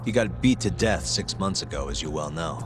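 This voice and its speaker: A man's voice: low voice, lively intonation, fairly quick. An actor playing a tough, cool and sardonic investigator in a video game cutscene, confident and taunting as he presses for answers. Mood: cool interrogation. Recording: dramatic music behind the voice. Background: background music.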